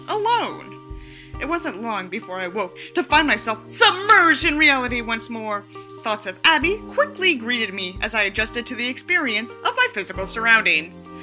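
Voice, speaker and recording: Animated, high-pitched woman reading with sardonic flair. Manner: theatrical, sardonic. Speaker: audiobook reader and commentator. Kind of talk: dramatic book reading with sarcastic, profane commentary.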